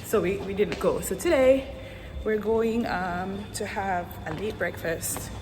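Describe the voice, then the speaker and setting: A woman's voice: mid-range voice, unhurried, background noise. A young woman chatting casually to camera as a vlogger, playful and teasing. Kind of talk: casual vlog chat.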